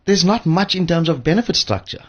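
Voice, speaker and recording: A man's voice: fairly high pitch, lively intonation, a little fast, dull audio. A man speaking as an expert guest in a conversational radio interview, emphatic and repeating words for stress. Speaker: studio guest, pension fund expert.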